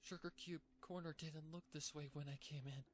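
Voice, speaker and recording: A man's voice: on the high side, steady tone, normal speed, a little hiss or hum, voice pushed up, lightly acted. A man voicing a frightened young female cartoon pony, talking anxiously to herself in a storybook reading. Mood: alarmed. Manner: anxious, breathless self-talk with repetitions.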